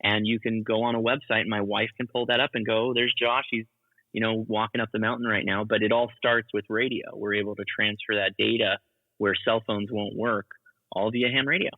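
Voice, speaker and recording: Male interview guest, slightly low-pitched, informal explainer, heard over a tinny phone-like connection. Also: little pitch movement, brisk, dry recording. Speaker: guest, ham radio educator. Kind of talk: casual explanatory interview talk. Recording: tinny, phone-like call audio.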